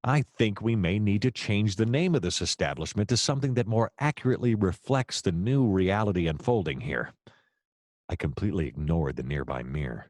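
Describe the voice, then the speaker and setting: An actor playing a wry, gallant private detective who narrates a noir radio play in dramatic, formal phrasing. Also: male voice, low-pitched, lively intonation, fairly quick, darkish tone, no echo, clean studio sound. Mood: smitten narration.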